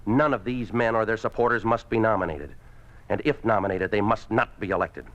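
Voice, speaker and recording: An actor playing a ruthless, domineering communist party boss in a 1950s radio drama, speaking in a curt, commanding way. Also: male voice, lowish voice, a little fast, fairly bright tone, lightly acted. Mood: harsh, commanding. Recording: muffled old 1950s radio recording.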